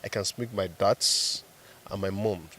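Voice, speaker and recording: Low-voiced man, firm opinions, faint street noise in the background. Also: measured pace, pushed and bright. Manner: direct, persuasive. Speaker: entrepreneur. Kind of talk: street interview.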